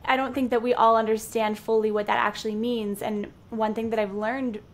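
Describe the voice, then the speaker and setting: A woman's voice: a little high, little pitch movement, fairly quick. A young woman hosting a podcast over a video call, enthusiastic and affirming, chatting casually with lots of "you know". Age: young adult. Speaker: podcast host. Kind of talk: casual interview conversation. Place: indoor room. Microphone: headset on video call.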